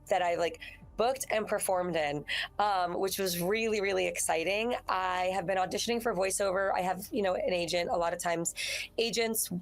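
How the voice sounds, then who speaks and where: A woman with a naturally raspy voice, a voice actress answering interview questions in a loose, rambling way. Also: mid-range voice. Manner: rambling, restarts sentences, acts out her own thoughts. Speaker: voice actress guest. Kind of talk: casual interview chat.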